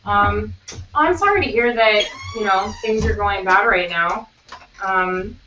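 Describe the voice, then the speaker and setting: A woman speaking in a flat, monotone way, chatting casually on a live stream and reading out viewer messages. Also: fairly low pitch. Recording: echoey room from far off, dull and noisy.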